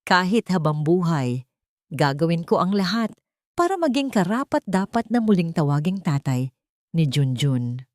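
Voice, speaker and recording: A woman voicing a repentant man and devoted father in an audio story, soft, earnest and humble. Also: on the low side, big pitch swings, normal speed, dry recording, studio quality. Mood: earnest pleading.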